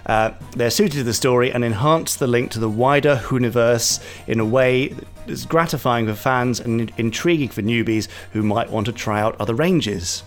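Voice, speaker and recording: A man's voice: medium pitch, normal speed, faint music behind. A man co-hosting a podcast, playfully reading listener reviews aloud in casual banter. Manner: playful, reads quotes aloud with mock gusto. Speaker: podcast co-host.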